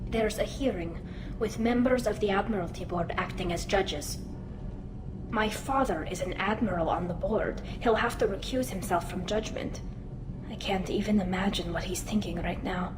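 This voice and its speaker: A woman's voice: mid-range voice, normal speed, noisy background. A woman playing an earnest, anxious and loyal young alien engineer in a video game, speaking through a helmet filter. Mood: worried, sincere. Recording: roomy game audio with music behind.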